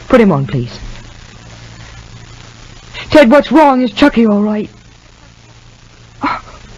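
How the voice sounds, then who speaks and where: An anxious, breathy 1950s soap-opera mother. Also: a little high, darkish tone, full character voice. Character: anxious, shaken mother worried about her young son. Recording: dull, muffled vintage radio recording.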